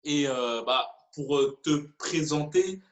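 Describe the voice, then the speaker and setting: A man's voice: a little high, takes it slowly. Hesitant male interviewer in a roomy space. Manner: hesitant, many fillers and restarts. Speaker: YouTube channel host, interviewer. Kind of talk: casual interview.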